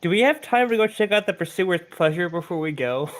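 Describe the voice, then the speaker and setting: Fast, lively man playing a tabletop game. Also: high voice. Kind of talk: casual game chat.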